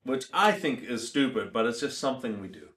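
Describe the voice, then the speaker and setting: A man's voice: mid-range voice, expressive, a little fast, fairly bright tone. A man with a South African English accent, chatting casually as a podcast co-host and explaining words. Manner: explains word differences, laughs easily. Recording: echoey room.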